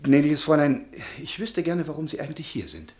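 An actor in a radio play playing a shy, pedantic history professor, precise and hesitant but easily indignant. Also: male voice, a little high, very animated, fairly quick, darkish tone, a little hiss or hum. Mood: flustered. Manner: precise, hesitant, flaring into agitation. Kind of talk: German radio drama acting.

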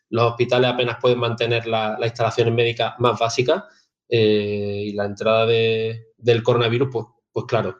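Guy with explaining stuff on radio, pretty flat delivery, slightly muffled lo-fi sound. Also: medium pitch. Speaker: radio contributor. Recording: slightly dull, lo-fi remote audio.

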